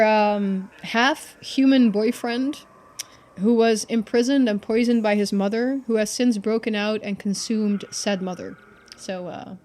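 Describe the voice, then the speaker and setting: A woman's voice: mid-range voice, a little mellow, a little hiss or hum. A woman game streamer reading a visual novel aloud, switching into playful, chatty live commentary.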